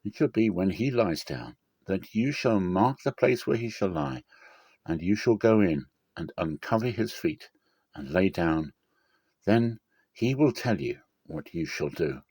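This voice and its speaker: A man's voice: sits low, lively intonation, a little slow, darkish tone, slightly rough, dry recording, heavily acted. A man voicing a caring older mother-in-law who guides in an earnest, motherly way, in a dramatic scripture reading. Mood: motherly, instructing.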